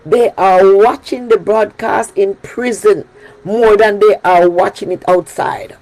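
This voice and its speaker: Woman, Jamaican accent, pastor's sermon, slow, gravelly, emphatic repetition. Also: mid-range voice, steady tone, a little mellow, low-quality recording. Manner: emphatic preaching, repeats key phrases. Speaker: pastor leading a prayer broadcast.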